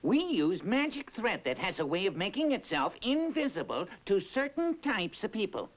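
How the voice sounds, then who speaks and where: A man voicing a sly swindler weaver, a smooth, wheedling flatterer, in a storybook tale. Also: high-pitched, normal speed, pitched above the performer's own voice. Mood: boastful. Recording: dull lo-fi tape, music behind.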